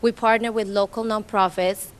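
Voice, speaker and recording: A woman from Colombia with a Colombian Spanish accent speaks at a community event in an informal, storytelling way. She is in her thirties and talks in English as a second language, chatty and animated as she retells conversations. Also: mid-range voice, moderate pace, a little hiss or hum. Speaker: nonprofit staff speaker. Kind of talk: informal public talk.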